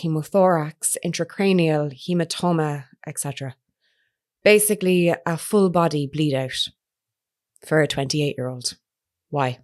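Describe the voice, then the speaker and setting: A woman playing a sharp-witted, persistent investigative reporter in an audio drama, with an articulate, probing manner. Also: lowish voice, close to an everyday voice. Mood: matter-of-fact. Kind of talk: naturalistic audio drama acting.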